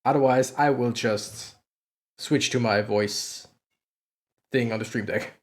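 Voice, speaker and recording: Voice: medium pitch, slow, a little hiss or hum. A game streamer talking casually during a let's play, chatty and self-deprecating.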